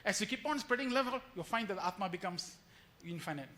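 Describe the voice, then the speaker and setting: A man's voice: high voice, expressive, a little fast, pushed and bright. A man giving a devotional lecture as a retreat speaker, telling stories with acted-out quotes and rhetorical questions and repeating phrases for emphasis.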